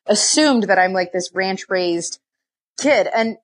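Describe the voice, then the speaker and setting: A woman's voice: medium pitch, lively intonation, slow. A young woman, a podcast guest, telling stories about her past in a chatty way, laughing often and acting out what she once said to herself. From raised in many countries, partly Scotland and Nevada. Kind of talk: casual storytelling.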